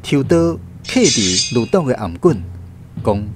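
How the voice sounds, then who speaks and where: A man's voice: medium pitch, big pitch swings, a little slow. A man with a Taiwanese accent narrating a radio drama in a dramatic storytelling style. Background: prominent background music.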